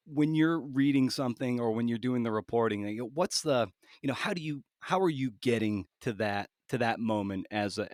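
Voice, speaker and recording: A man's voice: fairly low pitch, no echo, clean studio sound. A man hosting a podcast interview in a casual, conversational way, feeling his way toward each phrase as he rambles.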